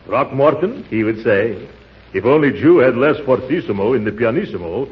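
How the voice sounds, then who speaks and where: An actor playing a vain, blustery, chuckling middle-aged uncle in a 1940s radio comedy. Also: male voice, mid-range voice, expressive, moderate pace, lightly acted. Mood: self-important. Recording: muffled, noisy 1940s radio, audience behind.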